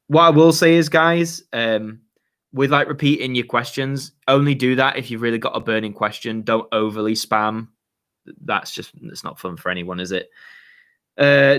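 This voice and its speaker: A young man, his voice a little hoarse. He hosts his own channel, chatting loosely through viewer questions with easy slang and frequent fillers. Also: medium pitch, fairly bright tone. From Yorkshire, England. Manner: chatty, laid-back, lots of fillers and slang. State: slightly hoarse. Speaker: YouTube channel host. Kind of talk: casual livestream chat, reading viewer questions.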